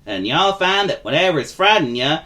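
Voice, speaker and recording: Man playing a folksy, kindly vagabond, raised pitch. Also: sing-song, brisk. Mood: reassuring. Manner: gentle, folksy, soothing. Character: kindly gentle vagabond, male, reassuring.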